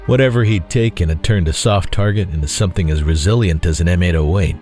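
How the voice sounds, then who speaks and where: A man reading scripted dramatic fiction as narrator, in a measured, solemn way. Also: low voice. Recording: faint music bed behind voice.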